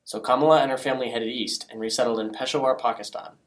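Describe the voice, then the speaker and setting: A man reading scripted feature narration in a measured, explanatory way. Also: medium pitch, fairly even intonation. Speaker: reporter, narrator.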